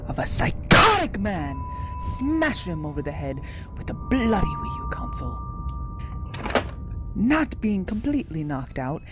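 Woman reading a story dramatically, low voice, swooping melody, unhurried, music behind. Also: sing-song, very noisy. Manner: dramatic, sweeping delivery. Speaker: reader.

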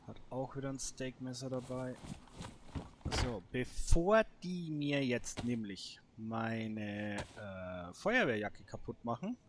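A man commentates on his own gameplay in a casual, improvised way. His voice sits at an average pitch. He thinks aloud in short muttered remarks, and there is clear noise with clicks in the recording. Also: expressive, slow. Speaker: gaming let's player.

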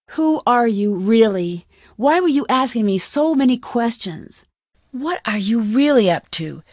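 A woman's voice: fairly high pitch, darkish tone, no echo, clean studio sound. A woman playing a young American detective in a video game, inquisitive, determined and guarded, speaking in a direct, conversational way. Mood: suspicious, firm. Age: young adult. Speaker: video game lead character. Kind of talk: scripted game dialogue.

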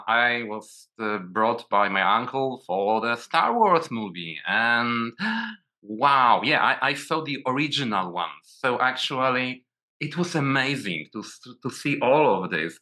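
Bright-voiced Polish man on a video call. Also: medium pitch. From Poland. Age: 40s. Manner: hesitant, many restarts and repeated words. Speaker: guest. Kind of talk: casual video call interview.

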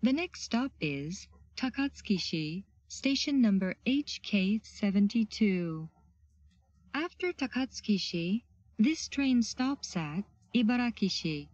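Woman, automated transit announcer, formal scripted delivery, very slow phrasing, dull lo-fi train speaker sound. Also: medium pitch, animated, darkish tone, dry recording. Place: played over a train's speakers.

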